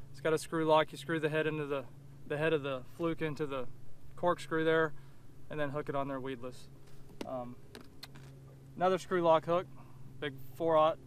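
A man in his thirties talks through a fishing lesson from a boat out on the water, his voice a touch on the higher side. He sounds relaxed and matter-of-fact, thinking aloud as he works with his hands. Faint outdoor ambience sits behind him. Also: a little hiss or hum. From Central Florida. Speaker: fishing instructor. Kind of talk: casual how-to demonstration.

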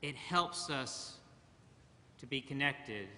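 A middle-aged man preaching a sermon from a church pulpit, slow and measured with long pauses. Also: medium pitch, animated, sharp tone, a little hiss or hum. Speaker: pastor. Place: large church sanctuary, at a pulpit. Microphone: pulpit mic, far camera. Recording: church hall reverb.

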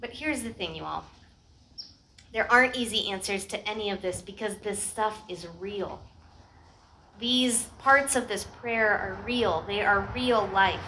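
A woman's voice: mid-range voice, sharp tone, rough recording. American woman preaching outdoors over ambient noise. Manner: earnest, informal, rhetorical questions. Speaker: pastor. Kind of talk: conversational sermon. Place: outdoor church service in a garden. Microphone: headset microphone.

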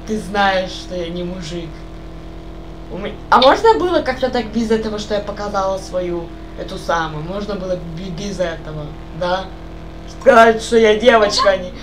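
A woman's voice: medium pitch, a little hiss or hum, rough recording. A young woman chatting casually on voice chat while playing a game, rambling and asking the same questions over and over. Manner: rambling, asks repeated questions. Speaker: gamer chatting on voice call.

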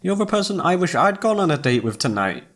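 A man's voice: a little high, very animated, a little fast, fairly bright tone. A man acting as an informal, emotive young best friend who is secretly in love, in a one-sided roleplay audio drama. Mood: indignant, adoring. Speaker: voice actor.

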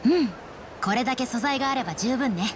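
An actress playing a brisk, confident young woman in a video game, casual and a little bossy. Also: high-pitched, expressive, noisy background. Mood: brisk, take-charge. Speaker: game character actor. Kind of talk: Japanese video game voice acting.

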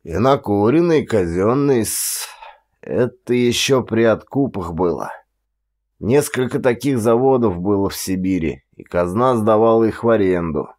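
A man's voice: lowish voice, expressive, unhurried, darkish tone. A man voicing a grey old Siberian former police chief, boastful and nostalgic, rambling in an old-fashioned deferential way for an audiobook. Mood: nostalgic, reverent. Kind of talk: audiobook character dialogue.

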